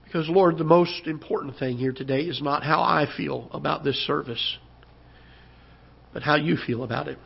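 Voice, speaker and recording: Male pastor, hoarse and recovering, halting delivery that lifts when he preaches; slightly high pitch; muffled church broadcast sound. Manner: halting, repeats words, rises when preaching. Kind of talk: sermon. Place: church auditorium.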